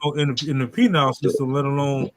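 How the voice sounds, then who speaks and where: A man and parent speaking in a measured, advising way in a casual livestream chat. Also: mid-range voice, fairly even intonation, a little hiss or hum.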